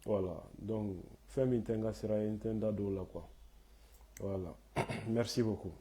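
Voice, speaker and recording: A man, hoarse from a cold, hosting a live talk in French and Bambara with many hesitant fillers. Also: lowish voice, fairly even intonation, normal speed, mellow. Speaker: host of a live online talk.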